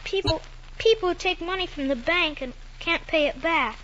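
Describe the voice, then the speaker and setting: Earnest Southern country boy, high and bright. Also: normal speed. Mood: anxious, earnest. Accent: American Southern. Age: child. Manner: hesitant, pleading, polite. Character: earnest, anxious country boy, honest and dutiful. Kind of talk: old-time radio drama acting. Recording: muffled, noisy old radio recording.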